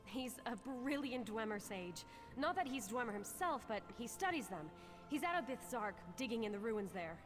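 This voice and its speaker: A woman's voice: on the high side, expressive, moderate pace, faint music behind, close to an everyday voice. A woman voicing an eager, businesslike young heist crew member in a video game. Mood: brisk. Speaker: game character.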